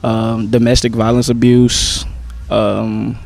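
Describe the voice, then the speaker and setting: A young man, a stage actor, answering interview questions in a relaxed, slangy way with many pauses and "um"s. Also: mid-range voice, gravelly. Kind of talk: casual interview chat.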